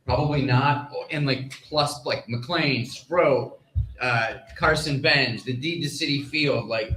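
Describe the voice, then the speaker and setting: A man giving conversational sports analysis as a panel reporter, measured and halting as he weighs his words. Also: medium pitch, pushed and bright. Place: live stage at a fan convention. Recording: echoey live room.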